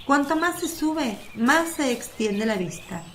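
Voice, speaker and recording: A woman narrates a radio play in a fairly high voice, reading scripted, descriptive story passages. Birdsong and a faint natural ambience sit behind her. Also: expressive, takes it slowly, fairly bright tone, slight background noise.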